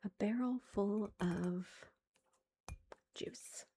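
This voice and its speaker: A woman in her thirties, a mother, telling a story in a soft whisper, chatty and amused. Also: medium pitch, drawn-out words, husky.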